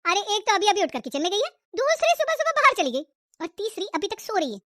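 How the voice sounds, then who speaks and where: Woman as a high-voiced, grumbling mother. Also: big pitch swings, fast, sharp tone, no room sound, clean studio sound, exaggerated voice. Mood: exasperated. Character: exasperated middle-aged mother of three daughters.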